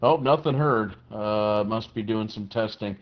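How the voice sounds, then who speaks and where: A man's voice: fairly low pitch, measured pace. A man chatting casually as a radio operator, thinking aloud in a hesitant way. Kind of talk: casual two-way radio chat. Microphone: two-way radio app or handset. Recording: muffled, band-limited walkie-talkie audio.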